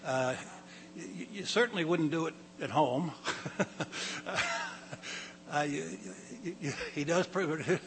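Older guy preaching in church, raspy throat, keeps clearing it, slight hum behind. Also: on the high side. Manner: halting, repeats words, clears throat often. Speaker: pastor. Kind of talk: sermon. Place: church hall.

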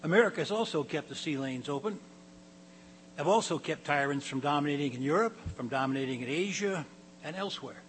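An older man with a raspy voice, a pastor giving a halting sermon to his congregation, often clearing his throat and repeating words. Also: on the high side, animated, a little hiss or hum, poor audio. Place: church hall.

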